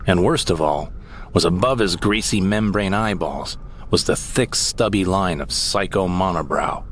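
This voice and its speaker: A man's voice: sits low, a little slow, a little hiss or hum. A man narrating a comic audio drama in the first person, in a wry, theatrical storytelling manner. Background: music.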